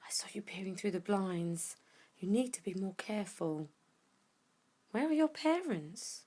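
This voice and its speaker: An actress playing a tough, urgent woman survivor in an audio drama, speaking tersely and commandingly, often hushed. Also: medium pitch, very animated, a little slow, breathy edge. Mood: firm, guarded. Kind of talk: audio drama acting with first-person narration.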